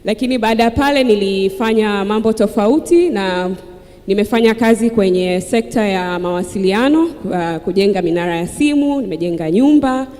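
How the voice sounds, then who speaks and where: Woman, reflective storytelling, warm dark voice, long hall reverb. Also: mid-range voice, brisk. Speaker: panelist. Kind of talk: personal story on a panel. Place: conference hall with audience.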